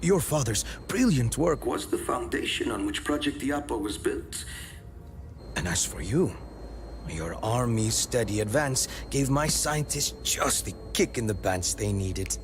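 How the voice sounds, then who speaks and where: A smug corporate mastermind from a video game, middle-aged and suave. He talks down with theatrical mock courtesy. Loud music plays beneath him. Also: medium pitch, very animated, unhurried. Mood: smug, menacing. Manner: mock-polite, condescending, theatrical. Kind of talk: video game cutscene acting. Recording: loud music bed under the voice.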